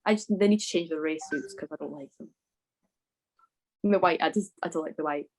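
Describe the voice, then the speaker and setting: Fast-talking woman in casual fan chat. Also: sits low, darkish tone, rough recording. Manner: gushing, fan-like, frequent "like". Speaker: motorsport podcast panellist.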